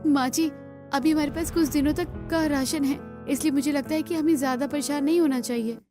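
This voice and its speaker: Woman playing a high-voiced, hopeful young daughter-in-law. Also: fairly even intonation, brisk, over music, lightly acted. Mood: reassuring. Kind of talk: cartoon story dialogue.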